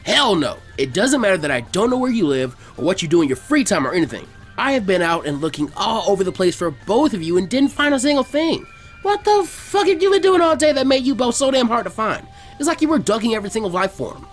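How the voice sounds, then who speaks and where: A brash teenage ninja boy acted out by a male reader in a fan fiction story. He is blunt, loud and indignant, with a raised, highly animated voice and music underneath. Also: fast.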